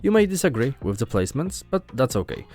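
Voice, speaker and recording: A man's voice: mid-range voice, sing-song, fast talker, darkish tone. A young man from Poland with a Polish accent, earnestly narrating a scripted gaming video as host.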